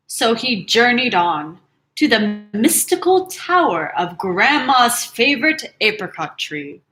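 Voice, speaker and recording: A woman teacher reading picture books aloud to children live, animated and dramatic, with casual chatty asides. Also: mid-range voice, a little hiss or hum. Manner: animated, dramatic storytelling mixed with casual commentary.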